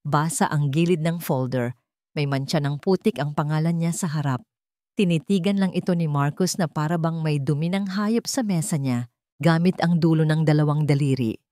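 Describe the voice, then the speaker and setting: A woman's voice: deep voice, warm tone, no room sound, studio quality. A woman with a Filipino accent reading a scripted story in a dramatic storytelling style.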